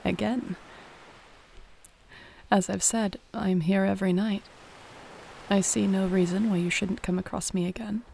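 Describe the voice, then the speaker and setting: Serene female mermaid played by a woman for sleep audio: mellow, soft-spoken, gently formal, unhurried soothing delivery. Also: mid-range voice, steady tone, creaky. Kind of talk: sleep-aid audio roleplay, soothing bedtime monologue. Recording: faint ambient ocean noise behind.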